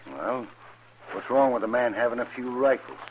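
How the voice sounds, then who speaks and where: An actor playing a nervous, evasive gunrunner with a folksy, ungrammatical American way of talking in a 1950s radio western. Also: male voice, mid-range voice, lively intonation, normal speed, close to an everyday voice. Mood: evasive, whining. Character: nervous, shifty frontier gunrunner, whining and evasive. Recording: dull, noisy old radio recording.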